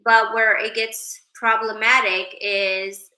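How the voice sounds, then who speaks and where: Woman livestream host, higher voice with a bright edge, unhurried and chatty. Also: flat delivery, reverberant. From Sweden. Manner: chatty, reads viewer names aloud, drifts into spiritual musing. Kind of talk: casual livestream chat reading comments.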